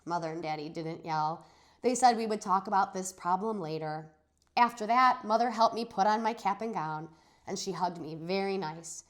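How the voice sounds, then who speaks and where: A woman teacher giving an expressive read-aloud of a children's story, acting out the dialogue. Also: medium pitch, moderate pace. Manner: animated, dramatizes dialogue. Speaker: teacher reading a chapter aloud for students.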